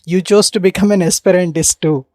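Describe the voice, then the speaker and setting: Podcast host, brief measured questions, plain delivery. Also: medium pitch, fairly even intonation, a little mellow. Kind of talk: asking interview questions.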